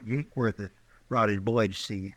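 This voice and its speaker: An actor playing a simple, deferential frontier sidekick in a radio western, with a rustic, hesitant way of talking. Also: male voice, on the low side, steady tone, moderate pace, slight background noise, close to an everyday voice. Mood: earnest. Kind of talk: old-time radio western acting.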